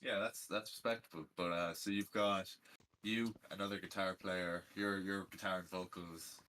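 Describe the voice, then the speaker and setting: A man's voice: low-pitched, fairly even intonation, normal speed, sharp tone. A man interviewing a musician in a casual, agreeable way, nudging the talk along with questions.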